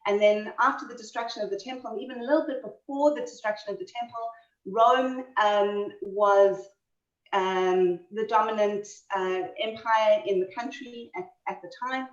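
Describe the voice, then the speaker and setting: A woman's voice: mid-range voice, fairly even intonation, normal speed, echoey room. A woman with a South African accent talks through a history lecture over a video call. She is chatty and keeps restarting, with plenty of fillers. Her voice comes through dull and slightly distant. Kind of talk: online history talk. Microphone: computer mic on a video call.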